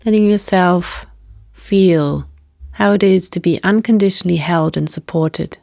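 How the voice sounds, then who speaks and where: A woman guiding a meditation as a teacher, speaking in a gentle, unhurried and invitational manner. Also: lowish voice, vocal fry, no echo, clean studio sound. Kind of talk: guided meditation narration.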